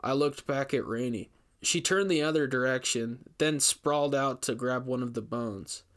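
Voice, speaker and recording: A man playing an investigator who recounts a frightening ordeal in measured, emphatic, scripted first-person narration. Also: mid-range voice, a bit bright, close to an everyday voice. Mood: grim, suspenseful. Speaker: narrator reading a story.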